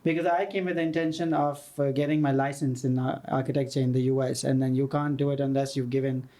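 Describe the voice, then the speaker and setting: A man's voice: mid-range voice, steady tone, quick pace, mellow. A man from Pakistan, a guest architect, telling his story as a thoughtful, fluent storyteller. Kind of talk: casual interview talk.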